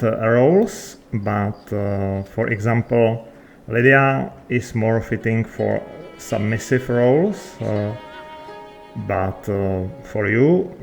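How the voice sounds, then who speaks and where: Halting, slow-spoken man with non-native English. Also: on the low side, vocal fry. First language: not English. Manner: halting, hesitant, searching for words. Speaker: game player. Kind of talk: unscripted in-character chat in a video game.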